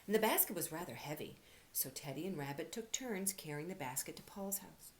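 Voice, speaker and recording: Female storyteller for young children, lowish voice, expressive read-aloud delivery, audible hiss. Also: brisk.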